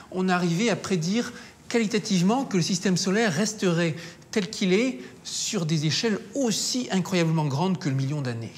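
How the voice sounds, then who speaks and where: A scientist explaining in a documentary, teaching step by step with some hesitations. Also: male voice, high voice, a little hiss or hum.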